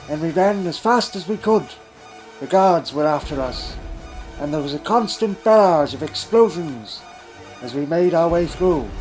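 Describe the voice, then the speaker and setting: A man playing an elderly, secretive alien time traveller in a fan audio drama, telling his story gravely and deliberately with pondering hums. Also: on the high side, a little mellow, natural voice, poor audio.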